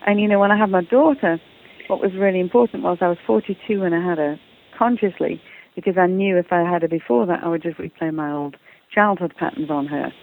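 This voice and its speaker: A woman's voice: lowish voice, a little fast, creaky. English woman on a tinny phone line. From England. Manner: enthusiastic, repeats words when starting sentences. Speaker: author and guest. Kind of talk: casual interview talk.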